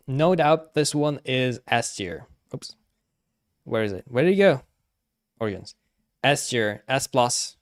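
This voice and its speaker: A male streamer talks casually through his opinions on a live stream. His delivery is halting and repetitive, often doubling back to second-guess what he has just said. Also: mid-range voice.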